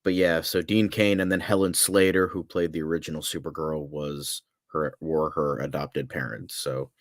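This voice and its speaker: Low voiced guy cohosting a podcast, casual talk, really dry sound no room echo. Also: little pitch movement, moderate pace. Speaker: podcast co-host. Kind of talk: casual film and TV discussion.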